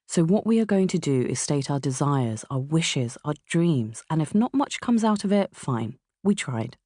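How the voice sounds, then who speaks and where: A woman's voice: sits low, big pitch swings, normal speed, mellow, no room sound. Dry, close southern English woman narrator. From England. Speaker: author narrating own audiobook. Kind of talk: scripted memoir narration. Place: dry recording studio.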